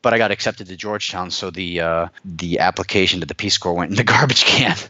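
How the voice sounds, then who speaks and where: American guy chatting about his life, lowish voice, kinda informal, slightly muffled sound. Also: a little fast, pushed and bright, no room sound. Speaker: interview guest. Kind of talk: conversational personal storytelling.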